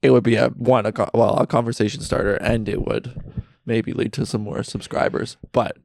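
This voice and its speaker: A man's voice: medium pitch, little pitch movement, normal speed, gravelly, a little mellow, dry recording, clean studio sound. A young man co-hosting a podcast, chatting casually and loosely, full of "like" and "yeah" fillers. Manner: laid-back, frequent "like" and "yeah" fillers. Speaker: podcast co-host. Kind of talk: casual chat. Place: basement.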